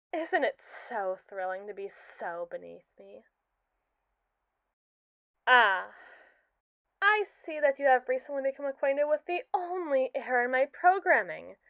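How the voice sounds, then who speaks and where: A cold, precise android with a secret obsession, voiced by a woman in an ASMR roleplay. The voice is smooth and somewhat high, with formal, condescending phrasing. The recording is dry and tinny, as if heard over a phone line. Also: dry recording. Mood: smug.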